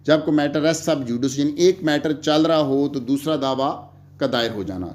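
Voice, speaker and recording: A man giving a recorded law lecture, explaining slowly and mixing English legal terms into his speech. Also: mid-range voice, darkish tone.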